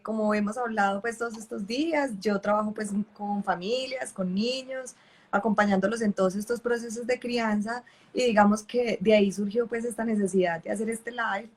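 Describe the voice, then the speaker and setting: A woman, a mother who works with families, hosting an online live interview in a conversational way. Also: medium pitch. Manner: conversational, acts out parents' questions. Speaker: host working with families.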